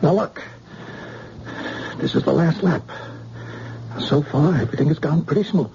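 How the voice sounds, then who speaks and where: A man's voice: a little high, normal speed, gravelly. An actor playing a menacing gangster in an old radio drama, with slangy tough-guy talk. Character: menacing male gangster, cool and threatening. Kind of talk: 1940s radio acting. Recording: dull old radio recording, faint hiss.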